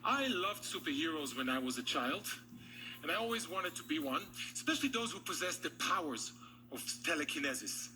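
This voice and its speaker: A man's voice: fairly high pitch, big pitch swings, a little fast, bright, forceful tone, faint music behind, low-quality recording. A man from Israel performing as a stage magician, speaking showy patter to an audience and a guest.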